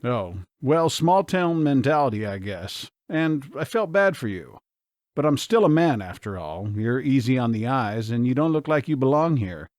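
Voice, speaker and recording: A relaxed, kind small-town man, voiced by a male reader in an audiobook. He talks in a friendly, teasing way at a medium pitch. The recording is dry and close. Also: normal speed, no room sound, close to an everyday voice. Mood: easygoing.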